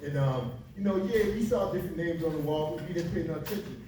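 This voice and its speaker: Older panelist, 70, jokey storyteller; fairly high voice, far off in a reverberant hall with a crowd. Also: male voice, quick pace, dark tone. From Philadelphia. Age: 70s. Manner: joking, reminiscing, laughs often. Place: large library event room. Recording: echoey hall with audience, recorded from afar.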